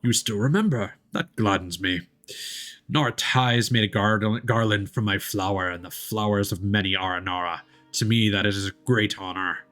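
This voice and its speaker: A man voicing a wise, gentle old forest spirit guardian from a video game, with formal, measured speech. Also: on the low side, soft background music, natural voice. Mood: gladdened.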